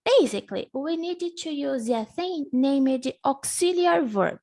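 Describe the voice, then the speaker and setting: Brief: English teacher, Portuguese native, measured, patient repetition, unhurried delivery. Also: female voice, sits high, lively intonation, warm tone. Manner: patient, slow drilling, repeats words for the student. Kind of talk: live online English lesson.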